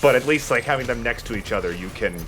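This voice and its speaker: A man's voice: medium pitch, animated, quick pace, low-quality recording. A man giving casual commentary while he plays a video game, speaking as the host of a let's play. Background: game music.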